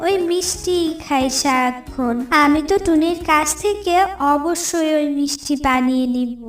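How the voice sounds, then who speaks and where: A woman's voice: high-pitched, unhurried. A woman voicing a greedy young female crow in a cartoon, who talks in an eager, pleading way. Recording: echoey, distant mic, clear music bed.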